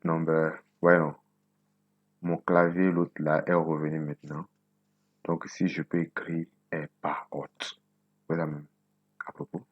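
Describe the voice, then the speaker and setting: Very deep slow man thinking aloud. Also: level tone, dry recording, lo-fi sound. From Benin. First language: Nago. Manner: thinking aloud while typing, repeating words slowly. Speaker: coding tutorial host. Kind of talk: casual screen-recorded coding tutorial.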